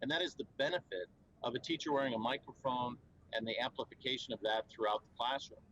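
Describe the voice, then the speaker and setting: A man talks earnestly at a trade show, walking through his points with easy fluency and the odd filler or restart. His voice sits at an ordinary middle pitch. A faint hum of background noise runs under the recording. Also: fast, a bit bright, rough recording. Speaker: company spokesman at a trade show. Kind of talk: conversational explainer interview.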